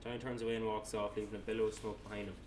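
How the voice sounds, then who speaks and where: A man's voice: fairly low pitch, flat delivery, very fast. A man reading screenplay directions aloud as narrator, plain and matter-of-fact, with the odd stumble. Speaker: narrator reading stage directions at a table read. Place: indoor room, table read. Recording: echoey room, distant mic, noisy background.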